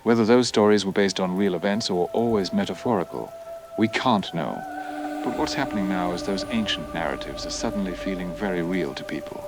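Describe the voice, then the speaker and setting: A man playing a solemn religious studies professor in an audio drama, explaining in a deliberate, scholarly way. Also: low voice, music in the background. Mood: solemn, reflective. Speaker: professor, expert interviewee. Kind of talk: scripted expert news interview.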